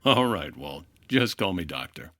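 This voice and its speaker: Casting: smooth wry insurance sleuth, old-time radio serial, lowish pitch with lively swing. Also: male voice, moderate pace, over loud music, full character voice. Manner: smooth wisecracking, dry. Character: suave, wry 1950s freelance insurance investigator. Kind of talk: old-time radio detective drama acting.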